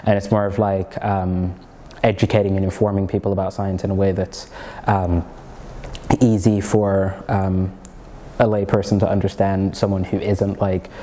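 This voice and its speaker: A man's voice: sits low, level tone, moderate pace, mellow, big-room sound, a little hiss or hum. A young man from Norway with an American-sounding accent, a comedian and student chatting in an interview, rambling with lots of fillers and restarts. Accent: American-sounding English learned in Egypt. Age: young adult. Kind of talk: casual interview chat.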